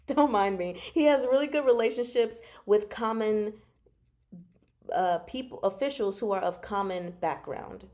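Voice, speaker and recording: A woman hosting a casual book discussion, speaking from notes in an animated, giggly, self-interrupting way. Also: mid-range voice, measured pace. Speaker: host of a book discussion show. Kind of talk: casual fan discussion, reading from notes and comments.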